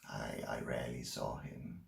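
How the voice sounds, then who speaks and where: A man's voice: rumbling low, steady tone, creaky, exaggerated voice. A man playing an unsettling, haunted man who stammers through an intense dramatic monologue about his troubled life. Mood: brooding, hushed. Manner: halting, stammering, intense storytelling. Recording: roomy, echoing room.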